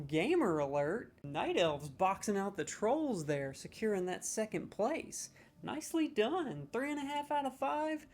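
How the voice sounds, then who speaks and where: A man's voice: sits high, darkish tone. A man in a comedic role, scoring lines with sarcastic, mock-serious, playfully exaggerated commentary.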